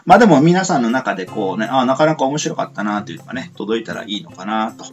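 A man's voice: mid-range voice, very animated, fast, soft background music. A man hosting a casual radio chat, chatty and full of small fillers, with polite phrasing. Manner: chatty, frequent sentence-ending fillers, polite sign-offs. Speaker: radio show host.

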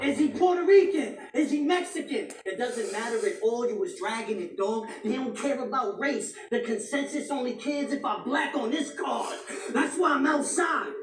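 Woman battle rapper, high and shouty, caught echoey and off-mic at a live venue. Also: expressive, normal speed, few pauses, far from the mic. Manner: aggressive, shouting taunts, repeating lines. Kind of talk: battle rap performance.

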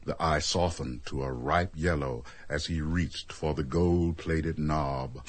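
A man's voice: extremely low pitch, takes it slowly, noisy background. An actor playing a solemn omniscient storyteller, a man reading scripted narration for a radio drama. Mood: measured.

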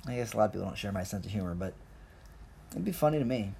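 A man's voice: fairly low pitch, expressive, very fast, gravelly, mellow, a little hiss or hum. A young man, originally from Colombia, rambling in a profane, jokey, self-mocking rant as a podcast host. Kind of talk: casual rambling monologue.

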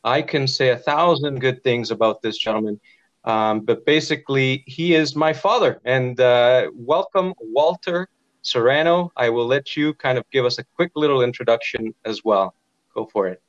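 A man hosting a conversational radio interview, wordy and rephrasing as he goes, on a dull low-fidelity recording. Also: normal speed, dry recording. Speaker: radio podcast host, interviewer.

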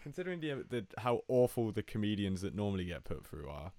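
Young man from Brazil, Portuguese native, podcast co-host; deadpan ribbing, low-ish voice, dry mic. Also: fast, dry recording. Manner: dry, teasing banter with swearing. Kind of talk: casual chat.

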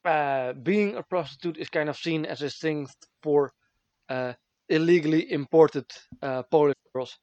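A Dutch man speaking English with his accent, his voice sitting a little high for a man. He rambles loosely with lots of fillers and giggles. The sound is dull and very dry. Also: measured pace, darkish tone, no echo. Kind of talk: casual chat.

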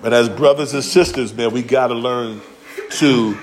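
A man's voice: mid-range voice, normal speed. A man preaching a casual, slangy sermon in a church, acting out quotes and talking directly to the congregation. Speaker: pastor. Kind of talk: conversational sermon.

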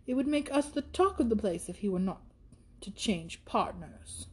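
A woman's voice: medium pitch, animated, moderate pace, slightly rough. A woman voicing a gushing, flighty young woman in a period storybook, coquettish, exclamatory and affected as she scolds. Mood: indignant, dramatic.

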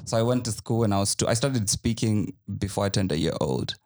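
A man's voice: fairly low pitch, brisk, darkish tone. A young man with a Kenyan accent chatting casually as a podcast guest, with a dry, joking manner. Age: young adult. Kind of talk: casual chat.

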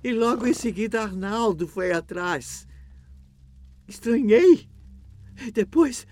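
An anxious enslaved kitchen woman in a radio drama. She speaks urgently in a high voice that swings widely in pitch. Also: expressive, takes it slowly, darkish tone. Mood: anxious urgency.

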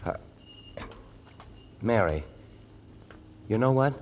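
An actor playing a troubled, shy young soldier in an old radio drama, speaking in a halting, self-effacing stammer. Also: male voice, on the low side, animated, heavily acted. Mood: haunted, halting. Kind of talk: 1940s radio drama acting. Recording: noisy old radio recording.

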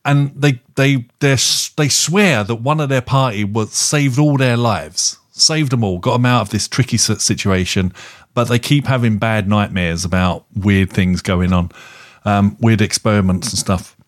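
A man casually reviewing films as a podcast co-host, rambling and halting as he circles back over his phrases. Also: lowish voice. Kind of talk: casual film review chat.

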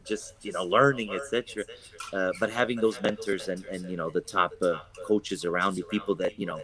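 A man's voice: low-pitched, fairly even intonation, a little fast, crowd noise, rough recording. A man who is a real estate broker talking casually in an interview, rambling with many fillers and restarts. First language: English.

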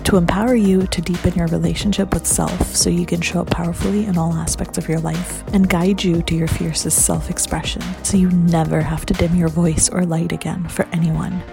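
A woman's voice: lowish voice, level tone, fairly quick, creaky, hardly any pauses, over music. A woman hosting a podcast, speaking in an enthusiastic, uplifting, motivational way. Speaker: podcast host. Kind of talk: scripted podcast intro, then casual interview chat.

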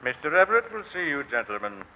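An actor playing a formal, polite, deferential gambling club attendant in a 1940s radio drama. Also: male voice, fairly high pitch, sing-song, fast. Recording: tinny, noisy old radio broadcast.

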